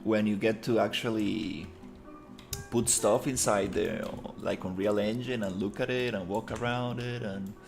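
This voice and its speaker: A man's voice: fairly low pitch, steady tone, a little slow, gravelly, a little mellow, faint music behind. A man chatting casually on a live stream while he models in 3D, rambling with lots of fillers. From Costa Rica. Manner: laid-back, rambling, many fillers, muttering through his work.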